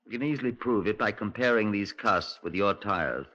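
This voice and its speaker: A man's voice: lowish voice, moderate pace, sharp tone. A man playing a courteous but insistent detective who questions pointedly in a 1950s radio drama. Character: probing, courteous Scotland Yard detective, quietly insistent. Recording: dull 1950s radio recording.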